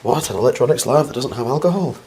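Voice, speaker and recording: A man with a slightly croaky, flu-worn voice hosts a casual instructional science vlog, chatty and rambling with wry, self-deprecating asides. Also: mid-range voice, lively intonation, fast talker, a little mellow, a little hiss or hum. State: getting over the flu. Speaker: host of a science video series.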